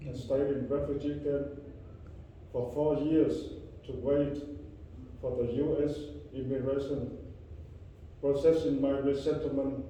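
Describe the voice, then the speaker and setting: An older man from Vietnam with a Vietnamese accent, telling his story as a refugee in halting English full of repeats and restarts. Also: medium pitch, flat delivery, warm tone, slight background noise. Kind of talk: personal testimony to an audience. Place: room with listeners. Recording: dull, roomy sound from a distance.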